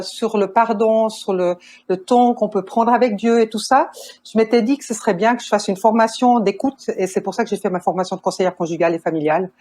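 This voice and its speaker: A woman in her sixties speaks with a clear Swiss French accent at a middle pitch. She recounts her own path in a calm, unhurried way, pausing as she gathers her thoughts. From Switzerland. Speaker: guest, nurse and family counselor. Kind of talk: interview answers.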